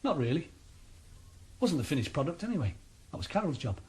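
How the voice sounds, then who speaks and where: Menacing crime boss, cool, matter-of-fact, radio drama. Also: male voice, fairly high pitch, sing-song, a little fast, a little mellow, a little hiss or hum. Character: cold, menacing middle-aged male crime boss.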